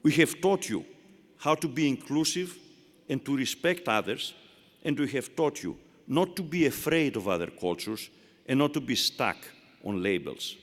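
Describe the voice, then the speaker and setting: A man with a Greek accent delivers a formal commencement speech at a podium microphone, stressing key phrases and building his points with rhetorical repetition. His mid-pitched voice carries a long, booming reverb from the large indoor hall. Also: expressive, normal speed. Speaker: chancellor emeritus giving commencement address.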